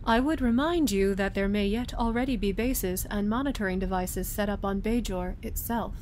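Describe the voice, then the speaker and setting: A woman's voice: normal speed, darkish tone, close to an everyday voice. A woman playing a composed Vulcan starship commander with formal, precise diction in a science fiction audio drama. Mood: formal, reporting. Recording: clear background noise with low rumble.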